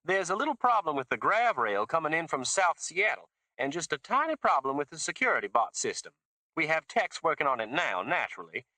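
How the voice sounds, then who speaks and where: Brief: male narrator doing a high, cooing female politician, fake Southern drawl, sugary and insincere. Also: very animated, fairly quick, sharp tone, dry recording, exaggerated voice. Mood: coaxing.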